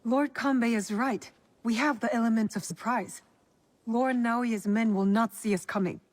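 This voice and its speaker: A woman's voice: on the high side, fairly even intonation, normal speed, darkish tone, no echo, lightly acted. A woman playing a determined, blunt young female shinobi in a video game, direct and serious. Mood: firm, warning. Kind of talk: dramatic video game acting.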